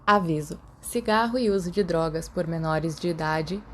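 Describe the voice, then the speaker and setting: A woman's voice: on the low side, normal speed, a little hiss or hum. A woman with a Brazilian accent reading a story as a scripted audiobook narrator. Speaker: podfic narrator.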